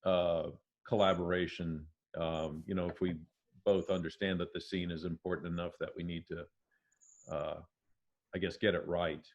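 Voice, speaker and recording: A low-voiced man speaks haltingly in a casual panel chat. The audio is slightly dull and lo-fi, as on an online stream. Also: flat delivery. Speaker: amateur voice actor.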